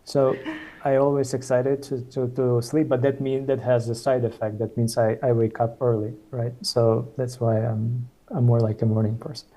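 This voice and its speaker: A man's voice: mid-range voice, flat delivery, normal speed, warm tone, low-quality recording. A man in his thirties from Ukraine, speaking as a guest on a video call in a hesitant, casual way with many fillers and restarts. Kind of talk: casual webinar conversation.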